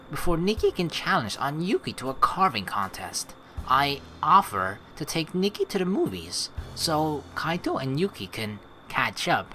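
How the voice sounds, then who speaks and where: A woman's voice: deep voice, a bit bright. A woman hosting a let's play, switching between reading game narration aloud and playful, teasing commentary.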